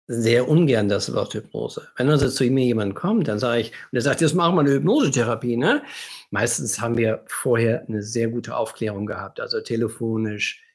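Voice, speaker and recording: A man with a husky, scratchy voice that sounds a little under the weather. His pitch sits in the middle of the male range. He explains things like a therapist hosting a livestream, leaning emphatically on key phrases and asking rhetorical questions.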